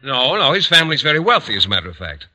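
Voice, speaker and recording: A man's voice: mid-range voice, big pitch swings, rapid-fire, sharp tone. An actor playing an earnest, naive male biology teacher in an old radio comedy, polite and a little hesitant. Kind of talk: 1940s-50s radio comedy acting. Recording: noisy old radio recording with clicks.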